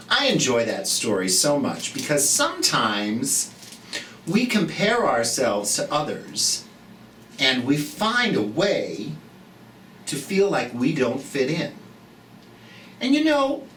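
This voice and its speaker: A storyteller of unclear gender hosting a children's storytime, theatrical and expressive, speaking gently and encouragingly straight to young viewers with drawn-out emphasis. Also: medium pitch. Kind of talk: animated children's read-aloud and gentle chat to young viewers. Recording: roomy echo, distant mic, light noise.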